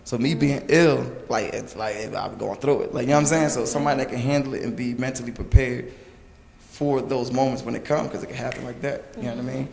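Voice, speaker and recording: A hoarse young man talking loosely on a panel, rambling with lots of fillers. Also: mid-range voice, fairly even intonation, quick pace, a little mellow. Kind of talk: casual panel discussion. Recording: long reverb, faint noise.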